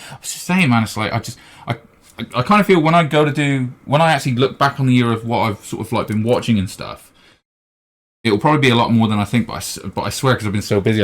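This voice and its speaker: A man's voice: medium pitch, gravelly. A British man co-hosting a casual podcast chat, laughing often and swearing now and then. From Britain. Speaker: podcast co-host. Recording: poor audio settings.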